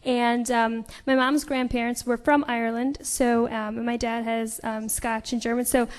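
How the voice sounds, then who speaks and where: A woman's voice: on the high side, level tone, moderate pace, big-room sound. A young woman from Chicago with an American accent, chatting casually as a musician guest on a live stage show. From Chicago, United States. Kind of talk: casual interview chat.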